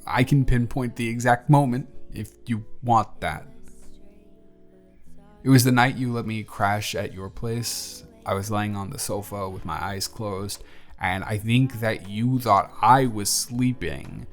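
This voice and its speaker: Low-voiced smitten young barista played by a man. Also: unhurried, soft background music, close to an everyday voice. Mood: tender, smitten. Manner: earnest, conversational, slightly flustered. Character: friendly young male barista, smitten, earnest, shyly confessing. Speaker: voice actor. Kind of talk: intimate romantic audio roleplay.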